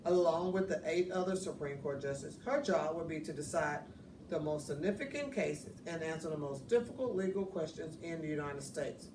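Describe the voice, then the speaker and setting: A woman's voice: low voice, animated, moderate pace. Woman reading a picture book, roomy distant sound. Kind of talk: children's read-aloud narration. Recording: roomy, distant mic, faint noise.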